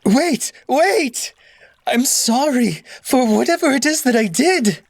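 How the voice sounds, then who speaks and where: A man's voice: extremely high pitch, animated, slow pace, creaky, dry recording, pitched above the performer's own voice, exaggerated voice. Man putting on a panicky fussy cat courtier. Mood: panicked. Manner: panicky, whiny then haughty.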